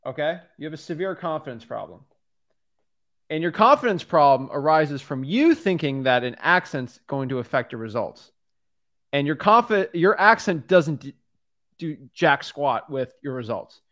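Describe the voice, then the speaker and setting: A man's voice: fairly high pitch, lively intonation, moderate pace, fairly bright tone. Blunt young man coaching over a call. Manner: blunt, direct and pushy. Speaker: wholesaling coach. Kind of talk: video call coaching session. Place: home studio room. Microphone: studio mic on a boom arm.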